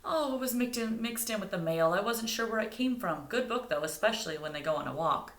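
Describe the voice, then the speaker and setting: A woman's voice: medium pitch, animated, brisk, fairly bright tone, echoey room, pitched above the performer's own voice. A woman reading a storybook as an apologetic, chatty male neighbour. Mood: sheepish.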